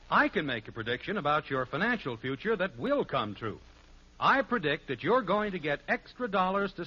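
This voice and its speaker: A man's voice: on the high side, bright, forceful tone, husky. An American man reading scripted announcements in polished, emphatic 1950s radio style. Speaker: announcer and narrator. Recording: dull old radio recording.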